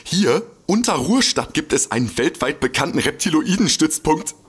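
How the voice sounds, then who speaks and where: An actor playing a gullible, eager amateur detective in a comic audio drama. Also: male voice, fairly high pitch, very animated, moderate pace, husky. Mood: excited.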